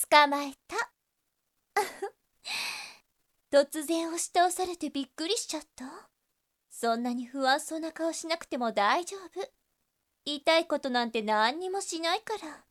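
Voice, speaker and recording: Succubus temptress, high voice, unhurried coaxing, flirtatious, doting, audio drama. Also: female voice, fairly bright tone, exaggerated voice. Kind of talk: Japanese situation voice drama acting.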